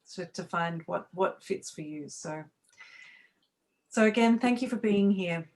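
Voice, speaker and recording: A woman's voice: lowish voice, a little slow, darkish tone. A middle-aged woman hosting a live online webinar as a coach trainer, speaking over a video call in a welcoming way with frequent restarts. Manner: welcoming, talks with many fillers and restarts.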